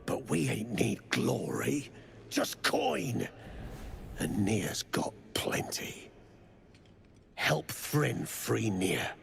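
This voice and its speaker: Greedy game dwarf heavy; very gravelly, threatening, slangy, with big swings in pitch. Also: male voice, huge pitch swings, drawn-out words, long pauses, fairly bright tone, slight background noise, full character voice. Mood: scheming. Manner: coarse, menacing, slangy. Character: gruff, greedy, scheming male duergar mercenary. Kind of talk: video game character acting.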